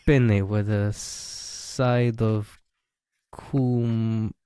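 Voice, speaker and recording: A man's voice: lowish voice, steady tone, very slow, dark tone, clear, resonant tone, no echo, clean studio sound. A man bantering on a casual comedy podcast, clowning around with a silly delivery. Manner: clowning, slips into silly voices. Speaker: podcast co-host.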